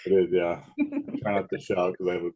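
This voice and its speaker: A man in his late thirties with a big booming voice, a guest chatting casually in a laid-back, rambling way. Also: huge pitch swings, brisk, dark tone. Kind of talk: casual chat.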